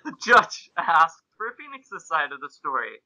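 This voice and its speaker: A man's voice: on the high side, big pitch swings, measured pace, pushed and bright, poor audio. A man hosting and narrating a comic amateur reading of fan fiction, in an amused, mock-serious way. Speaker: podcast host and narrator.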